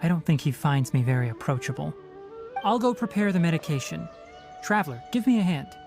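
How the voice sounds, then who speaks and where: A man voicing a calm, stern young male forest ranger in a game, speaking in a measured, matter-of-fact, slightly dry way. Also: fairly high pitch, gravelly. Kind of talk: game dialogue voice acting. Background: soft game music.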